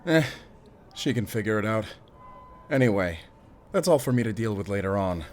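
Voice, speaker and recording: A man's voice: on the low side, expressive, moderate pace, darkish tone, slight background noise, lightly acted. A man playing a stern, commanding middle-aged father in an audio drama. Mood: calm, fond. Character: wealthy stern father, protective of his son, commanding.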